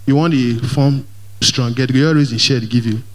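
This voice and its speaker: A young man with a Nigerian Pidgin English accent doing lively stand-up comedy in a large church hall, speaking into a handheld mic and mixing Pidgin with English as he works the crowd. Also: mid-range voice, normal speed. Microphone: handheld microphone.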